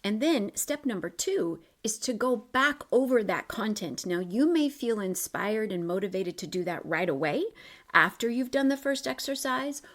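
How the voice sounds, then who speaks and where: A woman's voice: mid-range voice, lively intonation, normal speed. A woman coaching listeners step by step through an exercise, encouraging and reassuring, repeating key points for emphasis. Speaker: coach guiding a self-reflection exercise. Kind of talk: instructional coaching talk, step by step.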